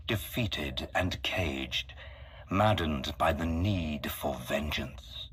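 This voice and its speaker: A man voicing a solemn, calculating mastermind in a video game, speaking formally and deliberately with a husky voice. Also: deep voice, a bit bright, full character voice. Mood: grave, scornful. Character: solemn, calculating male mastermind with grand galactic ambitions. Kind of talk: dramatic video game acting.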